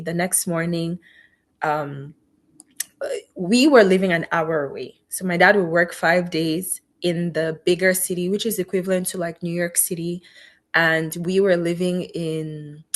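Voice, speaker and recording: Middle-aged woman, physician guest, earnest and faith-filled storytelling, low-pitched. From Cameroon. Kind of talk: personal story in an interview.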